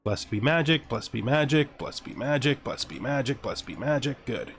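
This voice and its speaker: A man's voice: medium pitch, lively intonation, normal speed, faint music behind. Man musing through a video game. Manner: musing, mutters questions and answers to himself. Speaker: gamer narrating a let's play. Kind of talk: casual gameplay commentary, thinking aloud.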